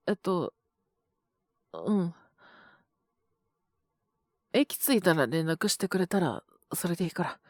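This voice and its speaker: A woman voicing a gentle young male teacher who is feverish and coughing, speaking softly and tiredly. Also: medium pitch, breathy edge, no echo, studio quality, full character voice. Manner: soft, tired, mumbling, often whispered. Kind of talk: audio drama for women listeners.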